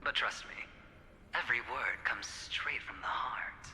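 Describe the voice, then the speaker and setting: An actor playing a courteous, insinuating corporate envoy with veiled menace in a video game, speaking in a polished, deliberate way. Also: male voice, medium pitch, bright, forceful tone, in a whisper, big-room sound. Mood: smoothly insinuating. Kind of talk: scripted video game voice acting.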